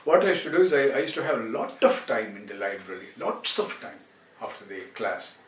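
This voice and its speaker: A man from Karnataka with a South Indian accent and Telugu as his first language, rambling to viewers about his own life in a halting way with repeated phrases. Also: mid-range voice, sing-song. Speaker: translator and former film actor. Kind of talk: rambling autobiographical talk to viewers. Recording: roomy, distant mic, faint background noise.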